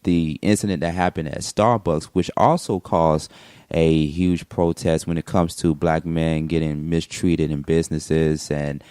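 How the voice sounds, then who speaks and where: A man's voice: extremely low pitch, steady tone, measured pace, a little mellow, clear, resonant tone, no echo. A man hosting a morning radio show, asking long, rambling questions full of restarts.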